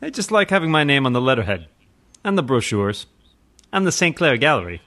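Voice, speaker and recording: A man's voice: medium pitch, sing-song, brisk, sharp tone. A man playing a suave, pompous playboy who is secretly a mysterious crimefighter, in theatrical old-time radio parody style. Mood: bored, arch. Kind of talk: parody of 1940s radio drama.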